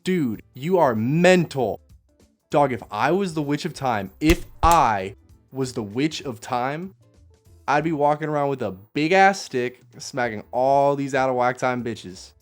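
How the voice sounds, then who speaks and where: A man playing a goofy, excitable young half-elf receptionist in an audio fantasy drama, chummy and slangy. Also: medium pitch, measured pace, faint music behind, lightly acted. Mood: awed, envious.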